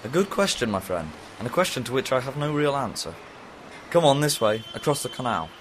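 A man's voice: mid-range voice, very animated, brisk, slight background noise, lightly acted. A man playing a weary, wry time-traveling Doctor with dry, understated humor. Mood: resigned. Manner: dry, gloomy, understated wit. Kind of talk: fan audio drama acting.

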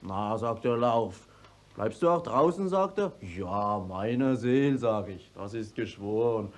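A man's voice: on the low side, expressive, slow. An actor playing a stubborn, plain-spoken young peasant who tells his story at length in a radio play. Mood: earnest storytelling. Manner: rustic, stubborn, long-winded storytelling. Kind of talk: classic German stage radio drama acting.

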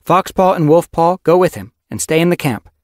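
Casting: senior she-cat mentor, played by a narrator, commanding and firm, raised pitch, animal audiobook. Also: lively intonation, moderate pace, a little mellow, dry recording, studio quality, close to an everyday voice. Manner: authoritative, instructing.

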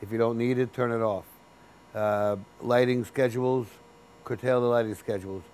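A man's voice: medium pitch, fairly even intonation, darkish tone, slight background noise. Plain-spoken man in a boiler room. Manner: plain-spoken, practical, unhurried with restarts. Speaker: chief engineer of a building. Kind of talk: interview for a training video. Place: boiler room in a building basement.

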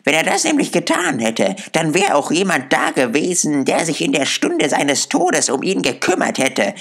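A man doing a shrill, brash old hag. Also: huge pitch swings, normal speed, a bit bright, heavily acted. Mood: defiant. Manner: coarse, taunting, shrill. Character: brash, defiant old woman thief. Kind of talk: audiobook character voice.

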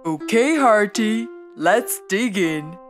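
A woman voicing a kind, cheerful elephant in a children's puppet show, giving simple, gentle instruction. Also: medium pitch, expressive, slow. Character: kind, cheerful elephant who loves cooking. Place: studio voice-over. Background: children's music.

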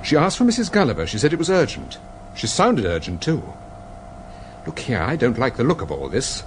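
An actor playing a courteous, decisive British gentleman detective in a radio drama. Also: medium pitch, wildly swooping pitch, a little fast, slight background noise. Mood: urgent, decisive.

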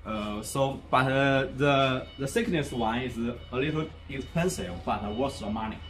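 A young man, not an English speaker, leads a tour through a large factory warehouse. He speaks slowly and hesitantly, stopping often for "uh" and starting sentences over. The factory floor hums faintly behind him. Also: mid-range voice, noisy background. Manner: hesitant, many "uh" fillers and restarts. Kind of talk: walking factory tour presentation.

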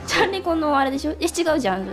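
A woman's voice: high-pitched, big pitch swings, rapid-fire. A woman co-hosting a radio show, chatting casually and reading out listener mail. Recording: music playing behind the voice. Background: background music.

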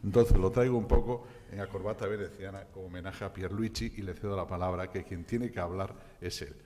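Chatty, low-voiced older presenter. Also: male voice, fairly even intonation, a little fast, warm tone, big-room sound, slight background noise. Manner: chatty, anecdotal, self-deprecating. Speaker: event presenter. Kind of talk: introducing a guest speaker. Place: conference hall at a panel table. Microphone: table microphone.

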